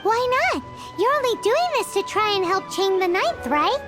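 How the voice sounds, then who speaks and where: A woman voicing a tiny, childlike, cheeky fairy companion in a video game, blunt and teasing, with a squeaky voice. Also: big pitch swings, moderate pace. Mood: doubting, teasing. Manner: speaks of herself in third person, blunt, teasing. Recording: music loud behind the voice.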